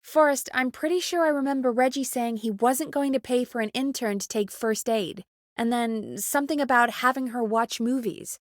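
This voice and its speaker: A woman's voice: a little high, normal speed, no room sound, clean studio sound, lightly acted. A woman playing an earnest, urgent radio producer in a video game, conversational and pressing in naturalistic dialogue. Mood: businesslike.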